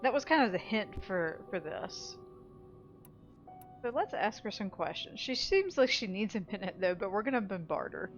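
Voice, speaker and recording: A woman's voice: medium pitch, lively intonation, moderate pace, lo-fi sound. A woman giving casual, wry commentary as she plays a video game. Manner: wry, offhand. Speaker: gameplay commentator. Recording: game music behind the voice. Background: game music.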